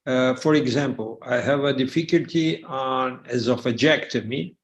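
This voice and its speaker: A surgeon asking a question at a webinar, halting and searching for words. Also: male voice, medium pitch.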